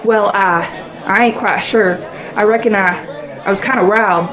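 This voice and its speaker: Radio drama farmer eyewitness, played by a woman, colloquial and meandering, mid-pitched for a woman, crowd behind. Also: steady tone, normal speed, lightly acted, low-quality recording. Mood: folksy, rambling. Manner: rustic, colloquial, rambling. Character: folksy, rambling male farmer, unruffled eyewitness. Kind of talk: radio play acting. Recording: clearly audible crowd noise around voice.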